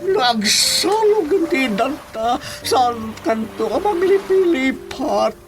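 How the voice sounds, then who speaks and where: A woman's voice: sits high, very animated, slow, exaggerated voice, low-quality recording. A woman voicing a befuddled, theatrical eccentric visitor with an Ilocano accent, rambling and stammering in a radio drama comedy. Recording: music behind the voice. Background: music.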